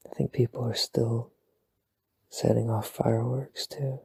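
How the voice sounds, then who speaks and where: Guy whispering a bedtime ramble, super breathy and a bit gravelly, dry close sound. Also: mid-range voice, flat delivery, unhurried, no echo. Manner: soft, rambling, self-deprecating, playful. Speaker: ASMR boyfriend roleplay creator. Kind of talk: whispered sleep-aid rambling. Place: home at night.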